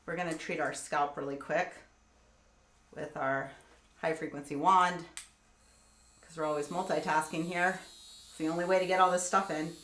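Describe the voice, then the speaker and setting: A woman's voice: sits low, fairly even intonation, moderate pace, long pauses, a bit bright, a little hiss or hum. Chatty woman in her forties, echoey room. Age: 40s. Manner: chatty, explains steps while multitasking, reads chat aloud. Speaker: skincare livestream host. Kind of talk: casual live tutorial chat.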